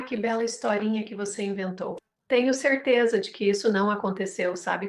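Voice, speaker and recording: A woman interviewer with a Brazilian accent and a medium-pitched voice. She speaks in a dubbed conversation, setting out her thoughts in a reflective, explanatory manner.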